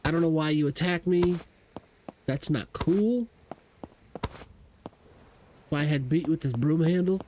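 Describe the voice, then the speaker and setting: A man's voice: fairly high pitch, a little slow, long pauses, warm tone, a little hiss or hum, lightly acted. A man putting on a whiny put-upon janitor. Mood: indignant. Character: fussy male janitor, put-upon and indignant.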